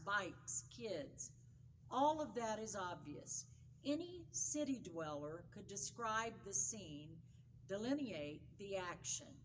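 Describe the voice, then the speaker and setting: Female devotional reader, unhurried and measured, weighty emphasis, faint noise behind the voice. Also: medium pitch, poor audio. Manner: measured, dramatic pauses and emphasis. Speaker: devotional reader, pastor's wife. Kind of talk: scripted devotional reading.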